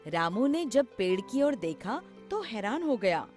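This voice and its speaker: Measured woman storyteller over music. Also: a little high, sing-song, darkish tone. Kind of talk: scripted children's story narration. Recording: music behind the voice. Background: background music.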